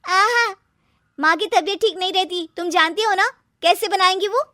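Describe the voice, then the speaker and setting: Woman acting a high, whiny young daughter-in-law. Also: normal speed, pushed and bright, no echo, studio quality. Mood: complaining. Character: young new mother, complaining, put-upon daughter-in-law. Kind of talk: cartoon story character acting.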